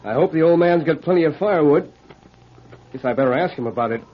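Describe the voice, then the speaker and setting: A man's voice: a little high, animated, fast talker, a little hiss or hum, lightly acted. Man acting earnest frontiersman, muffled old radio. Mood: hearty, concerned.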